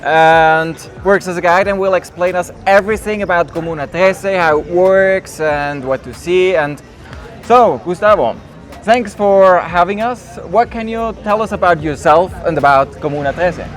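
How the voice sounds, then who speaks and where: A man's voice: high voice, moderate pace, soft background music. A man hosting a travel interview outdoors, asking friendly, simple questions with some restarts. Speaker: travel vlog host interviewing a guide. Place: outdoors on stairs in a city neighborhood.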